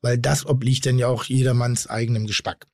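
A man's voice: mid-range voice, little pitch movement, fairly quick, vocal fry, a little mellow, no room sound. Blunt man in a dry studio podcast. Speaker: podcast host and restaurateur. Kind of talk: casual podcast conversation, explaining opinions.

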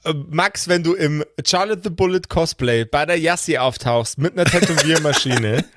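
A man's voice: a little high, normal speed, fairly bright tone, dry recording, studio quality. A man on a podcast, chatting and bantering casually as one of the cast.

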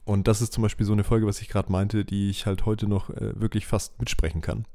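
A man's voice: on the low side, flat delivery, brisk, vocal fry, dark tone. A young adult man co-hosting a podcast, chatting casually and nostalgically in hesitant, circling phrases. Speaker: podcast co-host.

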